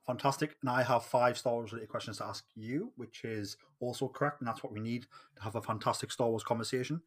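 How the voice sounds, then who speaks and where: A man's voice: mid-range voice, fast talker, husky. A man with a Geordie accent from north-east England, hosting a podcast in a casual, chatty interview style. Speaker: podcast host.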